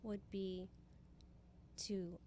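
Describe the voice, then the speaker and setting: A woman's voice: medium pitch, level tone, very slow, mellow. Earnest, emphatic woman guest, faint background noise. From Hawaii. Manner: earnest, emphatic, speaks in repeated phrases. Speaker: spiritual guest being interviewed. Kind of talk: conversational motivational explanation.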